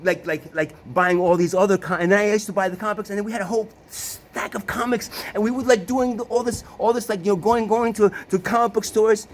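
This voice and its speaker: Halting, stammering man telling a story. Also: sits high, fairly even intonation. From Italy. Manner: halting, many restarts and repeated words, frequent swearing; sarcastic asides and rhetorical questions. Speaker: storyteller recounting his family. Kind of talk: casual personal storytelling.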